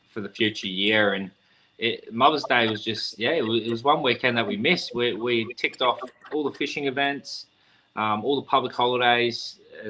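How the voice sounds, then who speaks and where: A man, a fishing club organiser, answering in a quick, matter-of-fact way as a guest on a call. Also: medium pitch, sharp tone, a little hiss or hum, low-quality recording. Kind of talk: casual interview answer.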